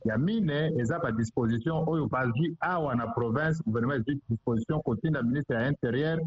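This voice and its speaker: A man's voice: mid-range voice, fairly quick, darkish tone, husky, no echo. A man with a Congolese accent giving an explanatory news interview as a provincial official, switching between two languages. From Democratic Republic of the Congo. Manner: code-switches between Lingala and French. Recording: muffled, dull lo-fi line.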